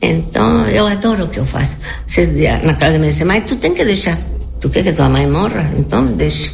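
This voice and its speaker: An elderly woman from Argentina, a native Spanish speaker with an Argentine Spanish accent, chatting in a halting, repetitive, anecdotal way as an interview guest. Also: fairly low pitch, animated, creaky. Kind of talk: casual conversational interview answers.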